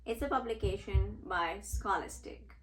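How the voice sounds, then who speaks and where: A woman reading a storybook aloud to children in an expressive, deliberate way, with explanatory asides. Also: medium pitch, a little hiss or hum. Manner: deliberate, dramatic pauses and repeats. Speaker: storybook reader and teacher. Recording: roomy, echoey sound.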